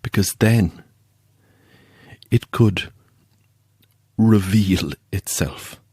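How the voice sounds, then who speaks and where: An actor playing a haunted believer in the paranormal, telling a chilling tale in a deliberate, halting way for an audio drama. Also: male voice, on the low side, a little mellow, breathy edge, dry recording, clean studio sound, exaggerated voice. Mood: dread. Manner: deliberate, halting, suspenseful storytelling.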